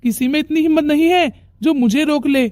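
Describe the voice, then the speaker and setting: A high, dry-sounding voice of a woman playing a brash young man in a family melodrama cartoon. The delivery is dramatic and confrontational, full of defiance. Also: a little fast, a little mellow, no room sound, natural voice. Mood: cocky. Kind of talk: Hindi moral-story cartoon dialogue.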